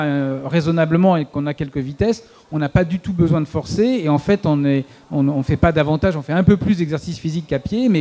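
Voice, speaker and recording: A man speaking on a conference panel, rapid and stumbling over repeated words. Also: on the high side, dark tone.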